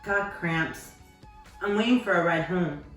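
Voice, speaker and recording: Guarded teen girl, curt and flat, lowish voice, as a woman reader gives her lines; far, echoey mic. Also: a little slow. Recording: echoey room, distant mic, faint music.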